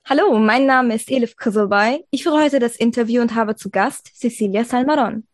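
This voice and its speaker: A woman's voice: fairly high pitch, normal speed, faint music behind. A woman hosting a podcast interview, asking questions in a conversational style.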